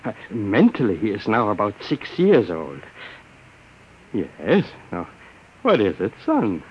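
Husky, flustered professor. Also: male voice, lowish voice, wildly swooping pitch, slow pace, dull audio, heavily acted. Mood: flustered indignation. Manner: stammering, fussy, indignant. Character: flustered, proud male professor, secretive inventor. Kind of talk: old-time radio drama acting. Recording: vintage radio recording.

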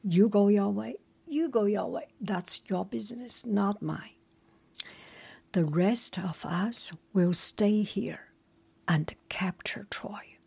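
Outraged proud male warrior whispered by a woman, very breathy, very slow. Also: medium pitch, mellow, heavily acted. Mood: defiant. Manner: whispered defiant challenge.

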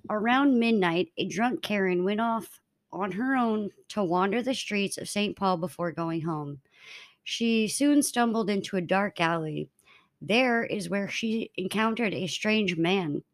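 A woman's voice: medium pitch, a little slow. A young woman co-hosting a casual podcast, telling a story in a matter-of-fact way to her friends. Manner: matter-of-fact, laughs between lines. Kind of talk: reading a case aloud to co-hosts.

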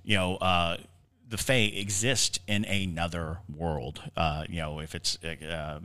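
A man's voice: deep voice, fairly even intonation, slow pace, pushed and bright. A man co-hosting a conversational paranormal podcast, explaining his ideas in a musing way and often repeating words as he gathers them. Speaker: podcast co-host.

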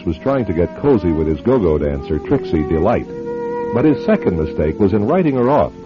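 A man narrates as host of a radio mystery, theatrical and wry. His voice is fairly low and moves widely in pitch. Music plays clearly behind him on a slightly muffled recording. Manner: wry, suspenseful storyteller. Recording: dull lo-fi broadcast with music behind.

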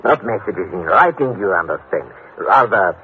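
An actor playing a suave, wary French gentleman with a French accent and formal phrasing in an old radio drama. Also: lowish voice, very animated, normal speed. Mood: grave, confiding. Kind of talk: 1950s radio drama acting. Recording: tinny, hissy old radio broadcast.

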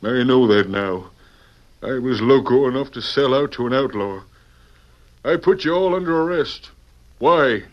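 A troubled Western sheriff acted by a man in an old American radio play. His medium-pitched voice is earnest and full of protest, slightly muffled by the vintage recording. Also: unhurried, pauses often, natural voice. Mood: rueful, bitter. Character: troubled, compromised Western town sheriff, indignant but cornered.

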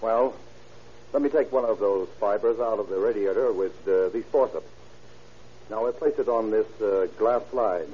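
An actor playing a careful police lab chemist who explains deliberately with hesitant murmurs in an old-time radio drama. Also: male voice, fairly low pitch, close to an everyday voice. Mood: methodical, absorbed. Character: careful, unhurried male police laboratory chemist. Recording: dull, noisy vintage radio recording.

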